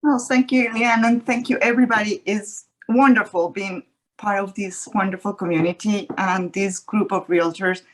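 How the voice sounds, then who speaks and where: A woman from Colombia with a Colombian Spanish accent, an estate agent telling her story on a webinar panel in an earnest way, pausing often. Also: mid-range voice, steady tone, a little hiss or hum, rough recording. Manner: earnest, many pauses and ums. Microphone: video call.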